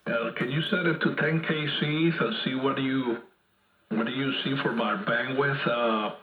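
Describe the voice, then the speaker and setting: A man heard over a two-way AM radio, talking informally and haltingly as an amateur operator on a hand mic. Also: medium pitch, fairly even intonation. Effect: two-way AM radio transmission. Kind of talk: casual radio contact chat. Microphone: hand microphone. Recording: muffled, echoey AM radio reception.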